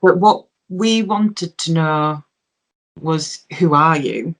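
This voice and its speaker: Low-voiced woman interviewing. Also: takes it slowly. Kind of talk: conversational interview.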